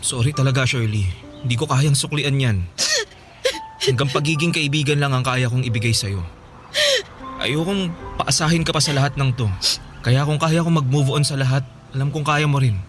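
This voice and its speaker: A man's voice: mid-range voice, moderate pace, long pauses, faint music behind, close to an everyday voice. A Filipino actor playing an earnest young man, firm but apologetic, in a radio drama. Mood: sad, apologetic.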